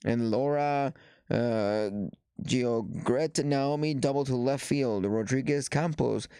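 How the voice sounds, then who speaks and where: A man hosting a softball show, talking casually and reading news aloud, with a stuffy nose after the flu and a halting delivery full of fillers and restarts. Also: medium pitch, clean tone, no echo, studio quality.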